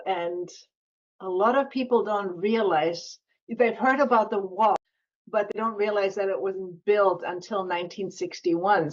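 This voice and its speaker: A woman's voice: medium pitch, darkish tone. Woman, German accent, 60s, conversational storyteller, muffled lo-fi sound. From Germany (West Berlin). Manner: explanatory, with frequent restarts and filler "yeah". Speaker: guest, author and entrepreneur.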